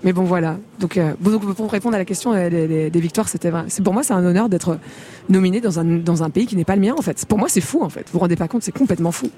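A woman from the Congo speaks as a singer guest on a radio interview, quick and candid. Her voice is warm and mellow, and she talks at a rapid clip. She laughs easily and trails off into fillers. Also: lowish voice, slight background noise.

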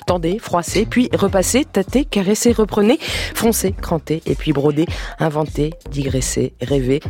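A woman's voice: on the low side, warm tone. A woman hosting a radio programme, speaking articulately and lyrically, moving between scripted poetic text and interview questions. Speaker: radio host. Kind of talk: poetic scripted monologue, then warm interview.

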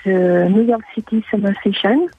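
A woman from France with a husky voice, answering radio interview questions as a guest, pausing and searching for words. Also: medium pitch, fairly even intonation, narrow, tinny audio. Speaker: guest singer-songwriter.

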